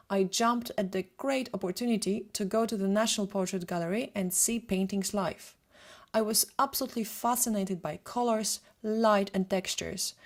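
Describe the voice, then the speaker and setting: A woman in her thirties with a Polish accent, a photographer presenting a prepared webinar in a steady, read-from-notes way. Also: mid-range voice. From Poland.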